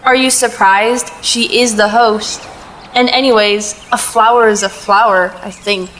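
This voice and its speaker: A woman playing a sharp-tongued old widow in an audio play, dry, blunt and sarcastic. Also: medium pitch, fairly even intonation, unhurried, slight background noise, lightly acted, rough recording.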